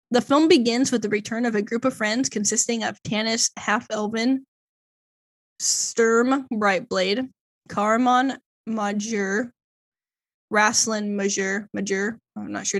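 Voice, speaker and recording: A woman's voice: on the high side, steady tone, measured pace. A woman co-hosting a casual podcast, stumbling over odd names as she reads a long plot summary, with lots of fillers. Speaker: podcast co-host. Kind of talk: casual chat, reading a plot summary aloud.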